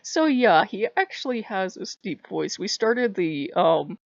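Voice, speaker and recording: A woman with a high voice, talking casually to camera in a chatty, rambling way with frequent laughs. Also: sing-song, unhurried, vocal fry, dry recording, dull audio. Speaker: spiritual vlogger.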